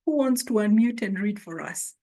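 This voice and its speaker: A woman hosting an online orientation, speaking slowly and deliberately as she welcomes new members. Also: medium pitch, lively intonation, warm tone. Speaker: orientation host. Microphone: video call.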